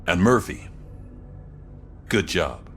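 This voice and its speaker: Police colleague, video game, deep voice, steady, friendly, plain delivery. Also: male voice, takes it slowly, over music, exaggerated voice. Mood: relieved, supportive. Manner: matter-of-fact, supportive. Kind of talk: video game cutscene acting.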